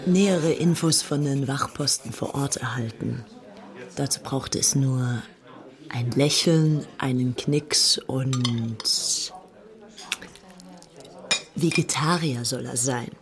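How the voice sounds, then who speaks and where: A woman's voice: extremely low pitch, slow pace, pauses often, background noise, heavily acted. A woman playing a young, coquettish, infatuated upper-class Englishwoman in an audio documentary drama. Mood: conspiratorial. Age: young adult.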